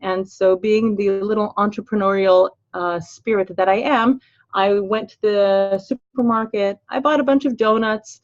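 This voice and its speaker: A woman's voice: mid-range voice, steady tone, measured pace, highs cut off. An older woman with an American accent who grew up in Los Angeles, hosting a webinar as a financial planner in a chatty, upbeat way with everyday fillers. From Los Angeles, USA. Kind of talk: webinar presentation.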